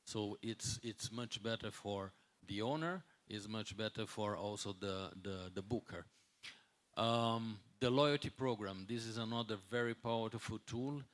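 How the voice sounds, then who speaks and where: Hotel executive, male, 60s, non-native English, slightly deep voice, speaks off the cuff at a conference, searching for words. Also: a bit bright. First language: not English. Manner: halting, searching for words, with repeated words. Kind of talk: unscripted conference talk.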